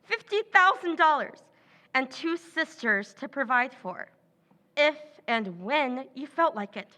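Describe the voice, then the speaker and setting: Radio-play schemer: woman doing a cutting, sneering sister, high bright voice. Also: expressive, measured pace, full character voice. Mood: contemptuous. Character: scheming, contemptuous younger sister, adult woman. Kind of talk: old-time radio drama recreation.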